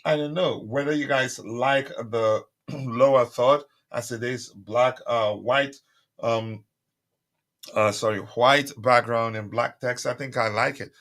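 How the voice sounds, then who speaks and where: Guy hosting a live stream, bright edgy voice, lots of uhs, keeps asking for likes, voice a bit strained. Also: mid-range voice, fairly even intonation, slow. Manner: chatty, many fillers, repeats calls for likes. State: voice strained, recovering. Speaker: livestream host. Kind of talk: casual live stream chat reading comments.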